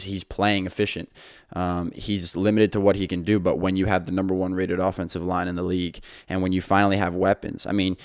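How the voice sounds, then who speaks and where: A man's voice: sits low, no echo, studio quality. A young man hosting his own podcast, talking casually and rambling a little, with frequent fillers and restarts. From England. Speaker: podcast host. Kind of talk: casual solo podcast talk.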